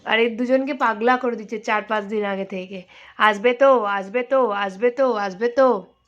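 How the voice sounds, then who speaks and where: A woman's voice: a little high, normal speed, fairly bright tone. A young woman, chatting informally to viewers as she narrates a casual family vlog. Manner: chatty, informal, mixes in English greetings. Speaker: vlogger. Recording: dull lo-fi home video, faint noise.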